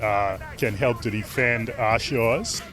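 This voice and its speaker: A man with a Bahamian accent answering interview questions thoughtfully, pausing with fillers. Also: mid-range voice, music in the background. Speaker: chamber of commerce president.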